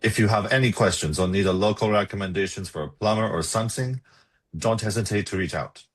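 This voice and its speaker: A man's voice: on the low side, quick pace, close to an everyday voice. An actor playing an earnest Austrian estate lawyer in his sixties at a script table read. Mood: businesslike.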